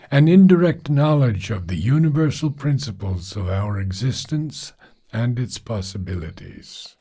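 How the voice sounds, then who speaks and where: A man reading a philosophical text aloud in a deliberate, solemn manner, pausing between phrases. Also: medium pitch, warm tone. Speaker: reader of a spiritual philosophy book. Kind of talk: measured audiobook-style reading.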